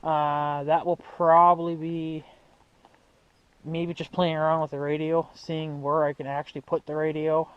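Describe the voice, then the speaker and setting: A man's voice: on the high side, little pitch movement, unhurried, smooth, dry recording. A young man chatting casually to viewers as a vlog host, rambling and slangy as he thinks aloud. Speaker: hobbyist video host. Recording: muffled, noisy amateur recording.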